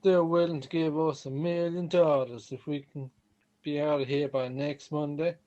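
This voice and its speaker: A man's voice: a little high, level tone, normal speed, dark tone, natural voice. A man in an amateur radio drama playing a mild, hesitant husband and father. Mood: mild, deliberate. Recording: dull lo-fi sound.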